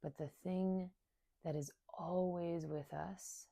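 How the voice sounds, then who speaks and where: A woman leading a guided meditation in a soft, soothing, unhurried way, leaving long pauses between short phrases. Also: fairly low pitch, steady tone, gravelly, dry recording, studio quality. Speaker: meditation guide and somatic coach.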